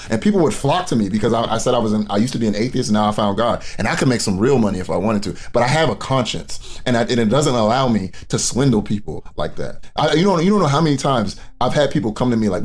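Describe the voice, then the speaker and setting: A man doing casual, profane reaction commentary, blunt and mocking, often repeating himself for emphasis. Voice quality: deep voice. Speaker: commentary YouTuber reacting to clips.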